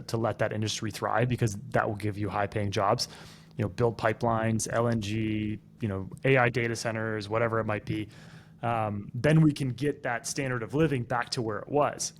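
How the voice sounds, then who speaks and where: A man's voice: fairly low pitch, a little hiss or hum. A man co-hosting a casual podcast who gives opinions and explains things at length. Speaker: podcast co-host.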